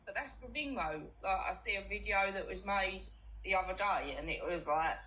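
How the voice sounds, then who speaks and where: A woman's voice: mid-range voice, normal speed, sharp tone, poor audio. A British woman chatting casually in a colloquial, sweary, supportive manner. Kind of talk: casual chat.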